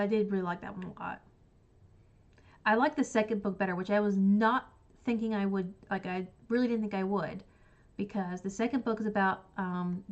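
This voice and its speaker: A woman's voice: medium pitch, darkish tone, highs cut off. A woman, congested and sniffly from a cold, chatting in a rambling way about books on a livestream. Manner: rambling, chatty, full of likes and ums. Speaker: book channel host. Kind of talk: casual livestream chat.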